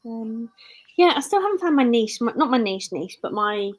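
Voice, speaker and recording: A woman's voice: a little high, sing-song, normal speed. British woman rambling in live chat. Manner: rambling, self-correcting. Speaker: live-stream host. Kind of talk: casual live-stream chat.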